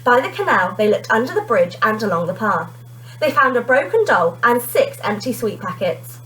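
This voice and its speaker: A woman teacher reading a children's storybook aloud in an expressive narrating style. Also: a little high, normal speed, rough recording.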